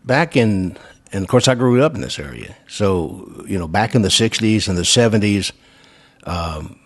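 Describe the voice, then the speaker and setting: Low-voiced older host. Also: male voice, lively intonation, normal speed. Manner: folksy, rambling, repeats filler phrases. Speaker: radio show host. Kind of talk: casual chat.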